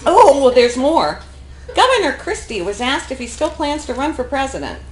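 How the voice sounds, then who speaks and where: Actress as sarcastic wife, animated, echoey stage. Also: female voice, high voice, normal speed. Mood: amused. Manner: wry, exasperated, theatrical. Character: sarcastic, salty middle-aged wife, a gym teacher. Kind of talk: live stage comedy acting. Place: theatre stage, live performance. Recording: echoey theatre recording, faint noise.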